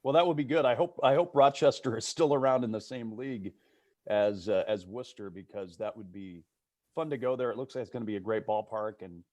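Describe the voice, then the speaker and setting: Low-voiced man, dry close sound. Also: a little fast, darkish tone, dry recording. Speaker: baseball play-by-play broadcaster as guest. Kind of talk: casual chat on a video call. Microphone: video call microphone.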